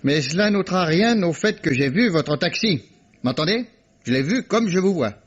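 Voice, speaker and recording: A shrewd jovial police inspector. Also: male voice, sits high, animated, normal speed, slightly rough. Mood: confident. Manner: self-assured, teasing, chuckling. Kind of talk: 1950s French radio drama acting. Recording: dull old radio archive recording.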